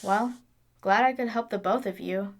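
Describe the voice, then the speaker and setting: A woman's voice: medium pitch, normal speed, close to an everyday voice. A woman playing a nerdy, caring young engineer in an audio drama, talking in a casual, exclamatory, teasing way. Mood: warm, playful.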